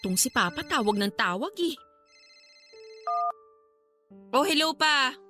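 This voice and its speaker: A woman's voice: high-pitched, expressive, fairly quick, over music. A woman acting an emotional student daughter in a Filipino radio drama, speaking with a Filipino accent. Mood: irritated. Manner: emotional, cutting, polite address to parents. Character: hurt, angry student daughter confronting her cheating father.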